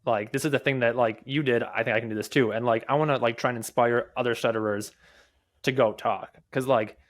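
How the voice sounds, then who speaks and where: A young man speaks candidly as a podcast guest. His speech is marked by a stutter, with repeated words, halting restarts and a steady stream of fillers. He answers at a conversational, mid-pitched level. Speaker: podcast guest, mobile developer and conference speaker.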